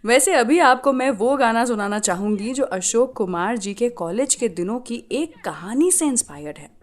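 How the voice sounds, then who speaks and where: A woman's voice: on the high side, animated, normal speed. A woman with an Indian accent presenting a radio show, telling stories in a chatty, conversational way and switching between two languages. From Indore, India.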